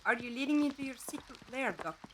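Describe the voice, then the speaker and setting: Woman acting a high-pitched, playful companion. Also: quick pace, a little hiss or hum, lightly acted, low-quality recording. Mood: teasing. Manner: teasing, frank. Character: playful, candid woman companion, doubtful of escape. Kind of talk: fan audio drama acting.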